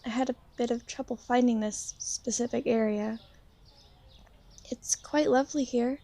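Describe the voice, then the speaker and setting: A woman playing a shy, gentle young vampire in an ASMR roleplay, speaking softly and hesitantly to the listener. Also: on the high side, steady tone, creaky. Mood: shy, fond. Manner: hesitant, soft-spoken, gently halting. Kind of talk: ASMR roleplay, soft intimate talk to the listener.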